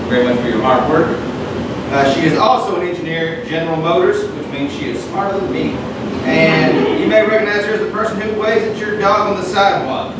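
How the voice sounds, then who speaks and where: A man's voice: sits high, very noisy. A man hosting a live storytelling night, introducing the next teller in a casual, self-deprecating way. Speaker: host. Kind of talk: live storytelling show host. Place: comedy theater stage. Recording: roomy live venue, distant mic, loud ambience.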